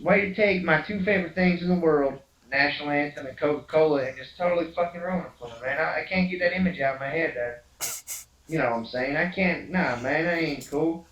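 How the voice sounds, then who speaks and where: A man's voice: a little high, fairly quick, a bit bright, muffled recording, pitched above the performer's own voice, lightly acted. Man putting on an indignant American blowhard, roomy recording. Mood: aggrieved. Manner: aggrieved, profane, slangy complaining. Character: indignant patriotic male American blowhard, comic rant. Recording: roomy homemade video, laughter over it.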